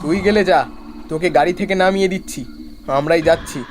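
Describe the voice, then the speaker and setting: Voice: medium pitch, fast, slight background noise, natural voice. An actor playing a brash young man in a horror cartoon, bossy and mocking with his friends. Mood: irritated, scolding. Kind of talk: animated horror cartoon dialogue.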